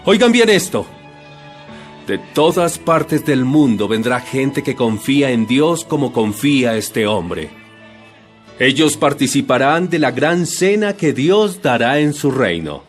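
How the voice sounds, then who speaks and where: A man acts out a male holy teacher and healer, commanding yet compassionate. His delivery is solemn and declamatory, with a very mobile pitch. Soft music plays behind this audio Bible drama. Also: very animated, slow pace. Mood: solemn, forceful.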